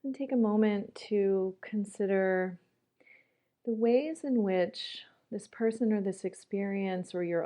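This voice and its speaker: A woman leading a guided meditation in a calm, gentle manner, leaving long pauses as she goes. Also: medium pitch.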